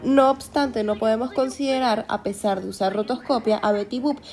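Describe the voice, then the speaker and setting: A woman's voice: fairly high pitch, moderate pace, darkish tone, soft background music. A young woman giving a scripted educational narration as a student narrator.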